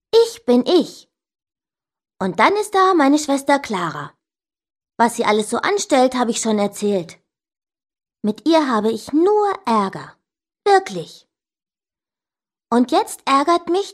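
A woman voicing an indignant young child who tells stories about an older sister, expressively and straight to the listener. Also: fairly high pitch. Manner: expressive, emphatic, addressing the listener directly. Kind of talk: scripted children's story narration.

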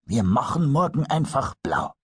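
A man voicing a kindly old master carpenter in a storybook reading, patient and gently explaining. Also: mid-range voice, sing-song, measured pace, slightly rough, no echo, studio quality, pitched above the performer's own voice, exaggerated voice. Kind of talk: storybook character voice.

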